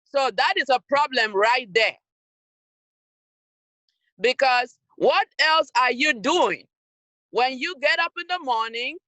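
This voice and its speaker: A woman's voice: high voice, sharp tone, no echo, low-quality recording. A woman from Cameroon, giving an emphatic, scolding motivational talk to young people over a video call. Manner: emphatic, scolding, direct to listeners. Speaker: youth program speaker.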